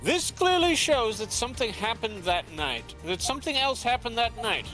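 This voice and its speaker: A man voicing a composed male prosecutor in a fan dub of a game, building dramatic reveals in a measured way. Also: very high voice, big pitch swings, sharp tone, voice pushed up, exaggerated voice, rough recording. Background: game music.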